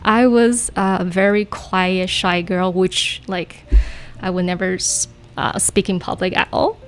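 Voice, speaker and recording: A woman's voice: on the low side, flat delivery, unhurried, clean tone. A woman in her thirties who grew up in Canada, a guest English teacher chatting on a radio interview with many fillers and laughs. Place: radio studio.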